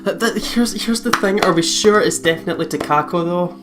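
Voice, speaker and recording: A woman's voice: fairly low pitch, brisk. A woman chatting casually as a let's play co-commentator, a little hesitant and repeating herself. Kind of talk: casual chat. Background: guitar music.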